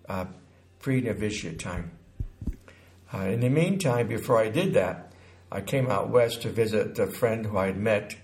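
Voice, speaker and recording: A man in his sixties from Connecticut recounts his life as an interview guest, haltingly, pausing mid-sentence. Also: on the low side, a little mellow. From Connecticut, United States. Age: 60s.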